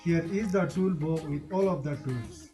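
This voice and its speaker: A woman's voice: low-pitched, measured pace, warm tone, soft background music. A woman reading a children's bedtime story aloud. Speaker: story reader.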